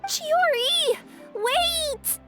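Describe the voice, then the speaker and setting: High-pitched, bubbly cat-girl courier. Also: extremely slow, loud music behind the voice, exaggerated voice. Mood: alarmed. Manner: flustered, hesitant, expressive. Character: bubbly, skittish two-tailed cat yokai delivery girl, kind-hearted. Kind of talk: animated video game voice acting.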